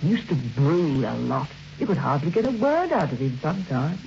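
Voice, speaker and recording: A woman's voice: deep voice, sing-song, normal speed, gravelly, a little mellow, exaggerated voice. An actress playing a gossipy, plain-spoken, working-class woman in an old radio drama, hesitant and matter-of-fact. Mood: pensive. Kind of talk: old-time radio acting. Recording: dull, noisy old radio recording.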